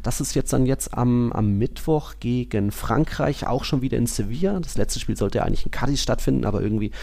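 A man's voice: medium pitch, fairly quick, vocal fry, mellow. A man co-hosting a casual football podcast, rambling through his analysis with frequent fillers and restarts. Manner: rambling, self-correcting analysis with many fillers. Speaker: podcast co-host.

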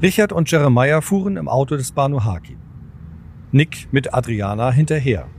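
A man's voice: medium pitch, animated, normal speed, a little mellow, a little hiss or hum. A man narrating a scripted audio drama as its storyteller.

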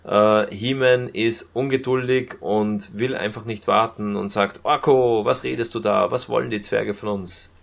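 A man casually reviewing as a podcast co-host, retelling scenes in a halting way. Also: lowish voice, fairly even intonation, creaky. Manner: halting retelling with acted-out quotes.

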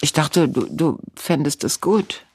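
A woman's voice: low-pitched, animated, measured pace, darkish tone, slightly rough. A woman in her fifties chatting playfully, laughing often as she reads horoscopes aloud. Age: 50s. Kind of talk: casual chat.

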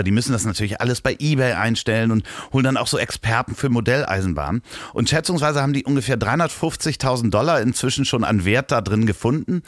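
A man's voice: medium pitch, a bit bright, no echo, studio quality. A man with a Hamburg German accent chatting casually as a podcast co-host, slurring the odd word. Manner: slurs some words. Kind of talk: casual chat.

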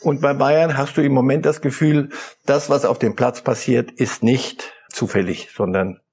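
A middle-aged man talking football as a pundit on a talk show, thoughtful and halting, often repeating words as he searches for them. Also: medium pitch, steady tone, darkish tone, breathy edge. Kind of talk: studio talk show discussion.

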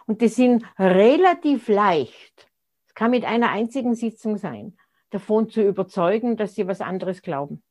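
A woman's voice: medium pitch, expressive, moderate pace, darkish tone. A woman, speaking as an interview guest in a reflective, conversational way and often restarting her sentences as she searches for words. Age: 60s.

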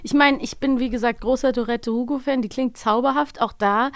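A woman's voice: a little high, steady tone, fairly quick, no room sound, studio quality. A woman co-hosting a podcast, chatting casually as she reviews audio plays. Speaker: podcast co-host.

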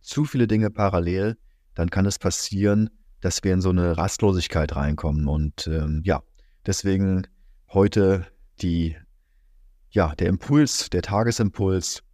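A man hosting a reflective solo podcast, speaking calmly with many fillers, his voice slightly strained from being a little under the weather. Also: sits low, a little slow, dry recording, studio quality. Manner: calm, musing, many fillers and "ja". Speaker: podcast host.

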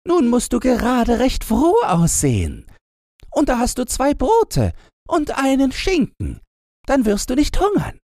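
A man voicing a blunt, bossy little robber girl with a soft spot, in a fairytale audiobook. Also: very high-pitched, wildly swooping pitch, unhurried, gravelly, no room sound, studio quality, voice pushed up, full character voice. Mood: bossy, caring. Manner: commanding, blunt.

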